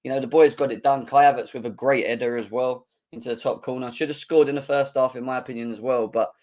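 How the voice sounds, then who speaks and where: A young man, his voice hoarse and croaky, hosting a live football fan show and chatting energetically while clearing his throat. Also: mid-range voice, fairly even intonation, fast talker, a little mellow. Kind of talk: live-stream chat.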